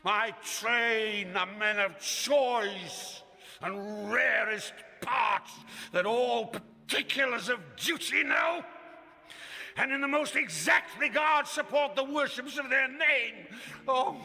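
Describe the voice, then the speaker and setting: A proud old king, declaiming in a classical radio drama with a high, piercingly forceful voice. He moves from murmurs to roars at a slow, weighty pace, and the sound rings in a long hall-like reverb. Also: vocal fry, soft background music, full character voice. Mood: anguished. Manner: theatrical, declamatory, swinging from murmur to roar. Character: proud, aged king raging at his daughter.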